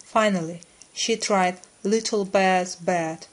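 Slow-paced woman reading a children's story. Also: medium pitch, steady tone. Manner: deliberate, clearly phrased for learners. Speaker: storyteller for English learners. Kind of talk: scripted children's story narration.

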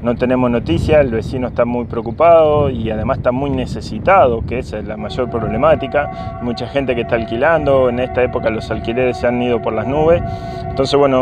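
A man in his forties with an Argentine accent, a city councillor speaking earnestly and insistently in an outdoor interview, often restarting his phrases. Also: medium pitch. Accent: Argentine Spanish. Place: open field by a paved street, outdoors. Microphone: camera at close range. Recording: outdoor wind and traffic noise.

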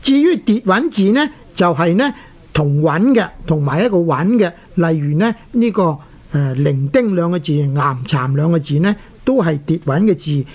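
A man with a Hong Kong Cantonese accent presenting a scholarly radio lesson, explaining in a deliberate, didactic way with drawn-out particles. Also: on the high side, lively intonation, husky. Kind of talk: scholarly scripted lecture with recited verse. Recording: dull, lo-fi radio recording.

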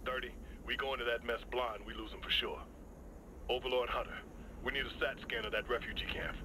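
A man's voice: medium pitch, fairly even intonation, a little fast, close to an everyday voice. Man acting terse squad leader amid battle noise. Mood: businesslike. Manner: clipped, commanding. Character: terse, commanding male special forces squad leader. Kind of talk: tactical military dialogue in a video game. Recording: gunfire and battle ambience behind.

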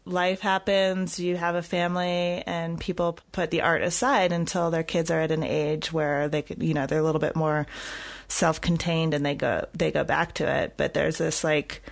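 A woman podcast host with a somewhat low voice. She speaks in a casual, conversational way, as an interviewer chatting with a guest. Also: little pitch movement, normal speed, gravelly.

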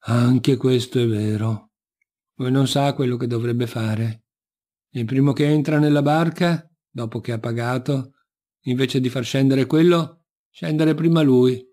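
A man voicing a grumpy, knowing ogre in a folk tale, explaining things slowly and grumbling. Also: medium pitch, dark tone, lightly acted. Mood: knowing, explanatory. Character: gruff, grumpy, knowing male ogre, a sleepy husband.

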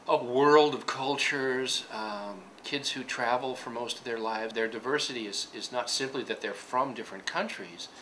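A man's voice: lowish voice, fairly bright tone, a little hiss or hum, low-quality recording. A man who is a teacher, speaking earnestly and reflectively in a documentary interview.

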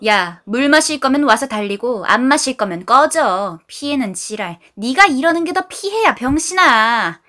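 A woman's voice: high voice, very animated, a little slow, few pauses, sharp tone, heavily acted. A woman voicing a blunt, scornful young woman who swears and talks down to others. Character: harsh, foul-mouthed young woman.

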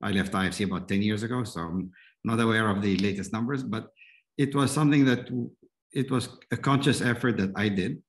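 A man in his fifties who grew up in Egypt, answering panel questions over a video call in a halting way, full of restarts. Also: on the low side. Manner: halting, with many restarts and fillers. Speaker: panel guest, investor. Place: indoor room at home.

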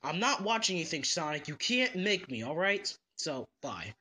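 A performer voicing a gruff, self-serious male hedgehog, sullen and curt, in a homemade character skit. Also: mid-range voice, normal speed, heavily acted. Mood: stubborn. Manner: deadpan, curt, sullen, sometimes hushed. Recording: noticeable background noise.

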